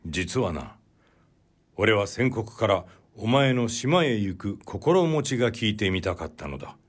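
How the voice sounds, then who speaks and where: A man voicing a puzzled, stern yet humane old-time Japanese officer in a literary audiobook, with measured, old-fashioned authority. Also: fairly low pitch, big pitch swings, slightly rough, heavily acted. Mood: curious, probing. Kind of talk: literary audiobook character dialogue.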